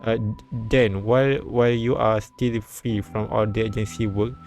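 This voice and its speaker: A man live-streaming a visual novel, reading it aloud haltingly and often repeating words. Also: lowish voice, fairly even intonation. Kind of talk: read-aloud narration with casual asides. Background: soft game music.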